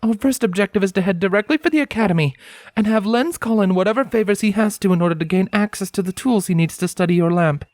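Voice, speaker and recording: A woman playing a wary ex-soldier pony, speaking in a formal, commanding, measured way in a dramatic story reading. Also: medium pitch, a little mellow, dry recording, lightly acted. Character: stern, wary female pony, ex-soldier fugitive. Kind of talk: dramatic audiobook acting.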